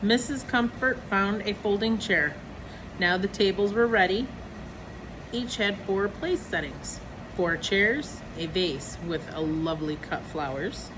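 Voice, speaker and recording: A woman reads a children's story aloud at a medium pitch. Her delivery is expressive, as if for young listeners. Clear background noise runs behind her voice. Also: unhurried. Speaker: storybook reader. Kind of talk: reading a children's picture book aloud.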